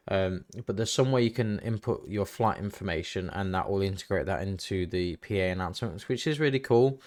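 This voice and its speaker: A man giving a casual, hesitant software walkthrough, thinking aloud as he goes. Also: fairly low pitch. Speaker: tutorial host. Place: indoors, voice-over for screen recording.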